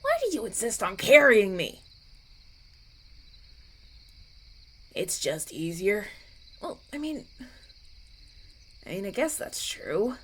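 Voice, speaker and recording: A man's voice: high-pitched, big pitch swings, measured pace, long pauses, fairly bright tone, slightly rough, exaggerated voice. A man playing a polite, anxious young runaway prince in an audio roleplay, stammering and hesitant as he talks. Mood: flustered, grateful. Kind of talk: ASMR audio roleplay, acted one-sided dialogue.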